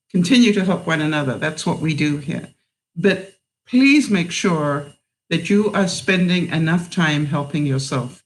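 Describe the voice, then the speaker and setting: A woman in her seventies with a mixed British accent, hosting a live stream and chatting with viewers in a halting way, full of fillers. She sounds a bit tired. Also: low voice, low-quality recording. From England. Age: 70s. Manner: unhurried, halting, with many fillers. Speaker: livestream host.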